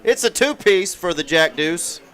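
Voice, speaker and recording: A man's voice: high-pitched, expressive, measured pace, pushed and bright. A man calling a live poker final table from a commentary booth, with folksy, dry jokes. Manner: folksy, dry joking play-by-play.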